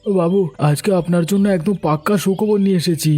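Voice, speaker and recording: An eager cartoon farmhand acted by a man with a fairly high, mellow voice. He is flattering and deferential, with soft music behind him. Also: brisk. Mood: excited. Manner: deferential, ingratiating. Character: eager, flattering farmhand serving his boss. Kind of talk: children's cartoon dialogue.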